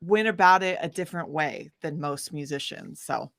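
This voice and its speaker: A woman musician telling her story casually in an interview, with an animated, informal manner. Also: deep voice, moderate pace, vocal fry, no echo. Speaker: guest musician, singer-songwriter.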